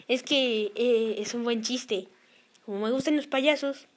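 A woman's voice: a little high, takes it slowly. A woman voicing a goofy, whiny cartoonish child who giggles, shrieks and whimpers.

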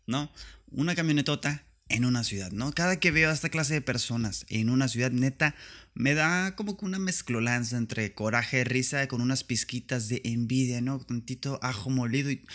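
Casting: male comedy podcaster, northern Mexican accent, mid-pitched voice, sweary and sarcastic monologue style. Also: a bit bright. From Tijuana, Mexico. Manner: slangy, profane, sarcastic. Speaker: podcast host.

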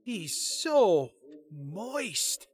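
A man a cocky, flirtatious political staffer in a comedy drama. His delivery is lively and excitable, jumping up and down in pitch as he gets indignant. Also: very slow, breathy edge, full character voice. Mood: exasperated. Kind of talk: fast comedy audio drama dialogue.

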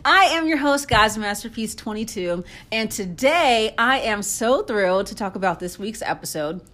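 A woman's voice: fairly high pitch, expressive, moderate pace, a bit bright. A woman hosting a casual chat show, enthusiastic and gushing, answering with lots of "wow" and "awesome.". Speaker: host.

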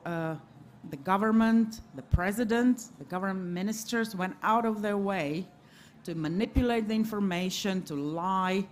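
Low-voiced woman moderating a live panel. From Latvia. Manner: hesitant, many filler sounds. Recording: live event recording, faint background noise.

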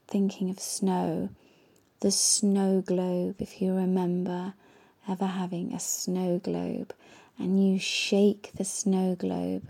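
A woman guiding a meditation in a gentle, soothing, half-whispered voice. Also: medium pitch, creaky, slightly rough. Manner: gentle, slow and soothing instructions. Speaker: meditation guide. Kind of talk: guided meditation.

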